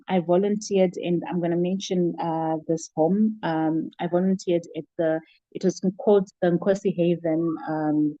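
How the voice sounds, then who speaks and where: A middle-aged woman with a Zimbabwean accent, giving reflective, halting answers as an interview guest. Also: lowish voice, dark tone, no room sound, lo-fi sound. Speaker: interview guest, child protection worker.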